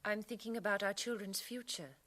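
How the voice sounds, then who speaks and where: A woman's voice: mid-range voice, steady tone, brisk, a bit bright, close to an everyday voice. A woman playing a sweet, thoughtful young village bride-to-be in a radio drama. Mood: concerned. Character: young village bride-to-be, sweet but anxious about progress.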